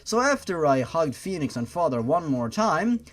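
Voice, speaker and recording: A man's voice: fairly high pitch, sing-song, moderate pace. A man reading a story aloud in a mocking, theatrical way, breaking off into sarcastic, foul-mouthed asides. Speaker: host reading and mocking fan fiction. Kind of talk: mocking dramatic read-aloud with ranting asides.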